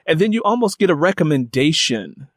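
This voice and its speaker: A man's voice: a little high, sing-song, a little fast, dry recording. American man interviewing, dry close sound. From United States. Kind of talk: conversational interview.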